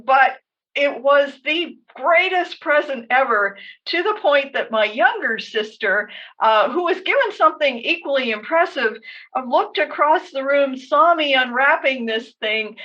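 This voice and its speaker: The sound is a little dull and lo-fi. A woman with a fairly high voice tells stories casually as a podcast co-host. She lays things out in an orderly way, with wry asides. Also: bright, forceful tone. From Canada. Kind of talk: casual storytelling chat. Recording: dull, lo-fi band-limited audio.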